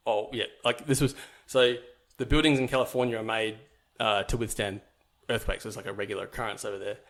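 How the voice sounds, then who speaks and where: A man's voice: fairly low pitch, steady tone, a little fast, a bit bright. A young man from New Zealand, a podcast guest, telling his life story in a casual, rambling way with many fillers and restarts.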